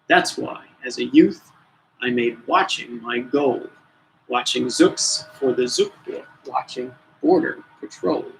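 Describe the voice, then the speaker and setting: A man's voice: medium pitch, expressive, slow, creaky, a bit bright, full character voice, poor audio. A man acts out a very old grandfather in a children's storybook. He is grave and suspicious, warning with weighty emphasis. Some background noise sits under the voice. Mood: grave, indignant.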